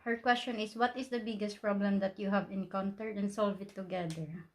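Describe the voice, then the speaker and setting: A woman's voice: mid-range voice, fairly even intonation, a little fast, background noise. A Filipino woman in her twenties with a Filipino accent, co-hosting a casual question-and-answer chat at home and giggling often while she reads questions aloud. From Philippines. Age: 20s. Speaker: vlogger co-host.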